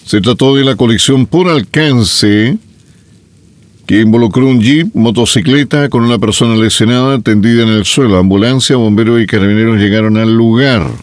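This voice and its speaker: Chilean male radio newsreader, mid-pitched, chatty asides, dry booth sound. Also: moderate pace, no echo. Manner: chatty, folksy asides between news items. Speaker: radio news presenter. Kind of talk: local radio news reading with asides.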